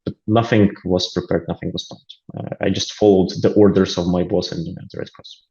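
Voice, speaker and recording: A man's voice: deep voice, level tone, moderate pace, vocal fry, warm tone, dull audio. A man with a Latvian accent and Russian as his first language, talking as an interview guest, hesitant with many fillers and restarts. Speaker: interview guest, aid volunteer. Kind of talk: casual interview talk.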